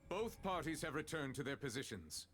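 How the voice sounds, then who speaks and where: A man's voice: fairly high pitch, sing-song, normal speed, a bit bright, soft background music. An actor playing a solemn, formal and impartial male chief judge in a video game.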